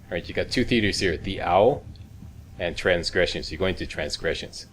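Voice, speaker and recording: A man's voice: sits low, moderate pace, fairly bright tone. A man giving casual video game walkthrough commentary, laid-back, in short step-by-step instructions. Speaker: gaming video host. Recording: clear background game noise. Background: video game ambience.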